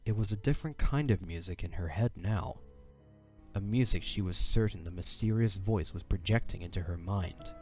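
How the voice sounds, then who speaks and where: Male narrator, deep register, scripted dramatic storytelling, light music underneath. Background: soft music.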